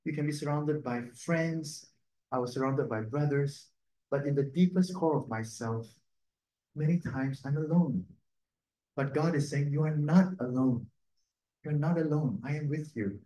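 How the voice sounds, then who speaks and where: A man, a priest and native Cantonese speaker, giving a gentle, conversational reflection on the Sunday readings, often adding a questioning "no?". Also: on the high side, measured pace, a little hiss or hum. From Cantonese-speaking region. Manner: gentle, conversational, tag "no?" and soft laughs. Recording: echoey room.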